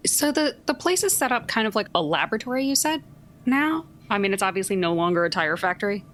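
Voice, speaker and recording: A woman's voice: mid-range voice, big pitch swings, fast, fairly bright tone. A woman chatting casually as a player in a tabletop game.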